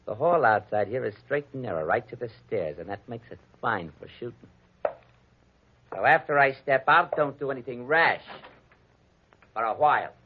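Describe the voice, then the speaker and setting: A man's voice: medium pitch, animated, moderate pace, noisy background, lightly acted. An actor playing a smug little gunman who threatens with taunting, mock politeness, in 1940s radio crime drama style. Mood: smug menace. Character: menacing little gunman, smugly polite henchman. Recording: dull, band-limited old radio recording.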